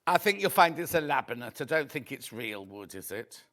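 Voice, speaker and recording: A man hosting a live shopping show, chatty and friendly, reading out viewer messages with a slightly croaky voice. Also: medium pitch, big pitch swings, moderate pace. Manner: chatty, reads viewer messages aloud warmly. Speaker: shopping channel host.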